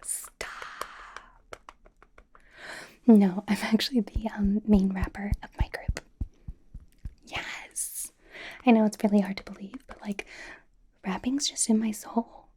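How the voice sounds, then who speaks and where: A woman playing a bubbly, nervous young K-pop idol in an ASMR roleplay, whispering softly in a chatty, rambling, reassuring way. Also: medium pitch, fairly even intonation, slow pace, pauses often, lightly acted. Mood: playfully gushing. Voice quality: soft, breathy whisper.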